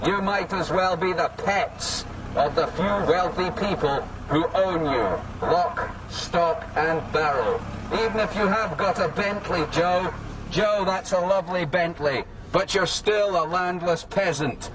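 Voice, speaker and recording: A man's voice: high-pitched, a little slow. A man giving a declamatory, repetitive political street speech, haranguing through a megaphone outdoors. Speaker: political campaigner. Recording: distant megaphone, loud street and vehicle noise.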